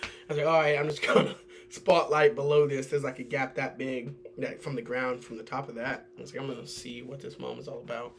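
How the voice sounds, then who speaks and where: A man's voice: medium pitch, level tone, brisk, gravelly, a little hiss or hum. A young man telling casual stories among friends. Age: young adult. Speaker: podcast host. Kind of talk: casual anecdotal storytelling with friends.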